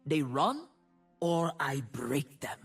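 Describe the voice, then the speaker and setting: A woman's voice: sits low, very animated, takes it slowly, slightly rough, full character voice. A woman playing a fierce warrior priestess of a harsh sea god in a video game, speaking in solemn, commanding declarations. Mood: defiant. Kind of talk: video game character voice lines. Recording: game audio with music behind.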